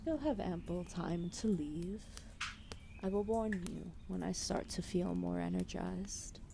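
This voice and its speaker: A woman voicing an ancient, lethargic serpent goddess, protective and calmly menacing, in a formal, soothing, intimate ASMR roleplay with whispered phrases. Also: on the low side, a little slow, creaky, noisy background. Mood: calmly warning. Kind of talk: ASMR roleplay, intimate soft-spoken acting.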